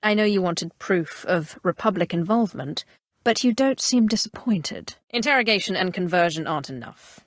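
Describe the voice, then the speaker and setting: A woman playing an imperious, calculating Sith sorcerer in a video game, with a measured, haughty and coolly threatening delivery. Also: on the low side, animated, dry recording, clean studio sound. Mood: cool, commanding. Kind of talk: scripted game character dialogue.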